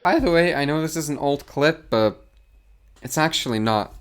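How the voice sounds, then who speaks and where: Sardonic YouTube commentator, lively pitch. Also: male voice, moderate pace, clean tone. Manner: dry, mocking, deadpan asides. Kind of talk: sarcastic commentary. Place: garage.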